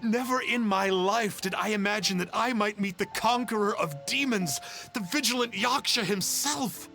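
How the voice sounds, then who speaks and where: A man's voice: high voice, normal speed, creaky, bright, forceful tone, heavily acted. A man playing a pompous, cowardly conman in a video game, with theatrical, old-fashioned phrasing. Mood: awestruck. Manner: pompous, theatrical, archaic speech. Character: pompous, cowardly male conman posing as an immortal, grovelling. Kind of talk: video game character dialogue. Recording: game music behind the voice.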